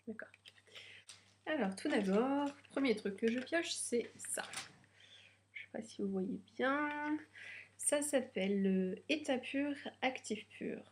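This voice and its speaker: Young woman, stuffy nose, hesitant, lots of fillers, higher voice. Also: animated, slow pace, a little hiss or hum. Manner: hesitant, many fillers, nervous first video. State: slightly stuffy nose from a cold. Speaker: beauty vlogger. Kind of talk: casual unboxing chat.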